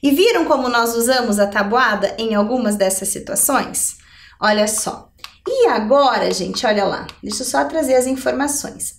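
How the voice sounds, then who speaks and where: A middle-aged woman explains things step by step as a teacher. Her voice is high and swings widely in melody, and she keeps checking in with questions. The sound is roomy, as if she stands a little way from the microphone. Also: far from the mic. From Brazil. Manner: didactic, checking in with rhetorical questions. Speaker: adult education maths teacher. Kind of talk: televised lesson, explaining step by step. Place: indoor TV lesson studio. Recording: roomy echo, somewhat off the mic.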